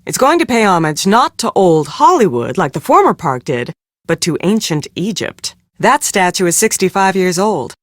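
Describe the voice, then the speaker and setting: An actress playing a harried, blunt film producer in a mystery game, brusque and sardonic. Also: female voice, lowish voice, sing-song, normal speed, dry recording, studio quality. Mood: sardonic, weary. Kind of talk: scripted game dialogue.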